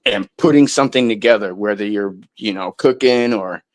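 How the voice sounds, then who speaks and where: A man's voice: fairly low pitch, normal speed. A man in a casual interview, talking in a loose, rambling way with lots of restarts and some swearing. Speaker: guest musician.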